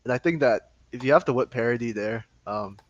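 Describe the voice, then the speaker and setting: A young man from Malaysia co-hosting a podcast, talking fast in a casual, sarcastic way and laughing often. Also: mid-range voice, muffled recording. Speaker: podcast co-host. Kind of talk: casual chat.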